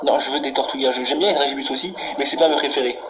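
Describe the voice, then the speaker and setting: A man's voice: mid-range voice, rapid-fire, natural voice. A man putting on a menacing, candy-obsessed angry customer in a prank phone call, ranting and swearing insistently. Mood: agitated, insistent. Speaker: prank caller. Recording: very noisy phone prank recording.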